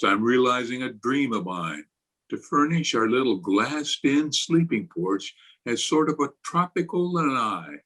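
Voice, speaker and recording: An actor playing a pompous, irritable middle-aged high school principal with grandiloquent, blustering delivery in a radio comedy. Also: male voice, fairly bright tone. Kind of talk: old-time radio comedy acting. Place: remote recording over video call.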